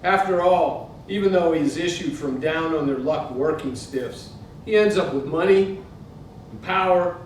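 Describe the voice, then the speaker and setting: A man in his sixties with an American accent, giving a literary reading to a live audience. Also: unhurried. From Pittsburgh, United States. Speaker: author reading from his book. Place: indoor museum event space. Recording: very echoey hall, distant mic, noisy rumble.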